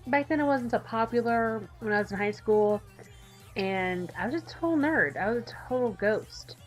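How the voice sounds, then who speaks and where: A woman's voice: on the high side, expressive, moderate pace, soft background music, rough recording. A woman hosting a gaming channel with casual, chatty, giggly and enthusiastic playthrough commentary.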